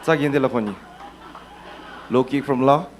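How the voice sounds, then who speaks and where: A man commentating a live fighting-game match, laid-back and mixing two languages, breaking into excited shouts at big moves. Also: medium pitch, moderate pace, low-quality recording.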